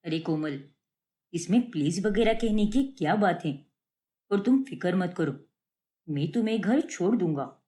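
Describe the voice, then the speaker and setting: A woman's voice: fairly low pitch, expressive, measured pace, dark tone. A woman voicing a kind, easygoing young male shopkeeper in a cartoon story, casual and reassuring.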